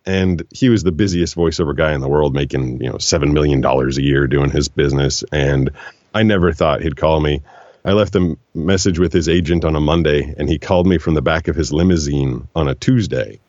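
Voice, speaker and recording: A man with a very low, deep voice tells stories in an animated, relaxed way, slipping into other voices when he quotes people. The room is dry and close. The sound is a little dull and lo-fi. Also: fairly quick, no room sound. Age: 40s. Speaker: guest, voiceover actor. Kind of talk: relaxed storytelling conversation.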